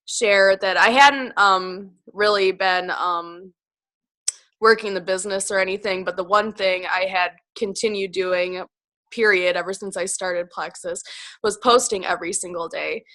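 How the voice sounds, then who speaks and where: A woman speaks on a video call with a scratchy voice from a cold. Her tone is bright and sharp, and she rambles chattily with plenty of fillers. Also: mid-range voice, steady tone, measured pace. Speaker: team member on a call. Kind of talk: casual call chat.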